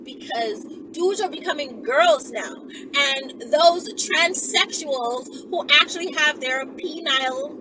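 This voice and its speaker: A woman's voice: high-pitched, lively intonation, slow pace, hardly any pauses. A woman giving a casual, rambling storytime monologue as a channel host, emphatic and often checking in with the listener. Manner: emphatic, checks in with "okay" and "right". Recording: distant mic, loud vehicle-like ambient noise.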